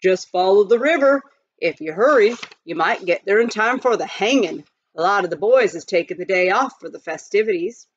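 A woman's voice: mid-range voice, lively intonation, moderate pace, no echo, lightly acted. Woman doing a folksy gold-rush miner man. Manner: folksy frontier talk. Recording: dull lo-fi recording.

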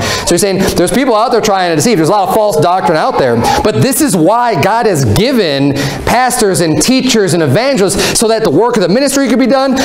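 American guy pastor teaching a bible sermon, kinda high voice, informal, small echoey room. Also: a little mellow. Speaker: pastor preaching. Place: small indoor room with block walls, at a lectern. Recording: echoey room, mic at a distance.

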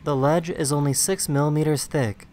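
A man's voice: on the high side, fairly even intonation, normal speed, a little mellow. A man reading scripted narration for a compilation of short viral clips.

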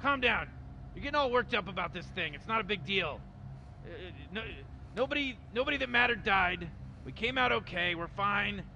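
A man's voice: high voice, animated, moderate pace, vocal fry, pushed and bright, exaggerated voice. A man voicing a smug, rambling old mad scientist who brushes off every objection. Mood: placating. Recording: noisy outdoor recording with traffic.

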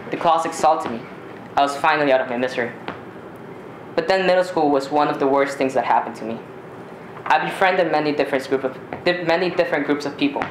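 Teen guy from ecuador, native spanish speaker, reading his story live on a small theater stage, echoey with a bit of noise. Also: on the high side. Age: teenager. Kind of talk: reading a personal story aloud. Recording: roomy live stage recording, faint noise.

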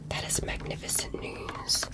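Whispering alien doctor played by a woman, gentle and careful. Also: a little slow, lightly acted. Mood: careful, focused. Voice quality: breathy, unvoiced whisper. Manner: gentle, careful, reassuring, formal phrasing. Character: polite, curious female alien doctor examining a human patient. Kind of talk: ASMR medical roleplay.

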